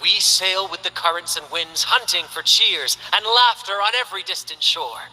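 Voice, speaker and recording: A man's voice: high voice, normal speed, sharp tone, long reverb tail, heavily acted, low-quality recording. A man voicing a flamboyant, carefree young performer who talks in a grand, theatrical way. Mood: showy. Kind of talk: game character voice lines.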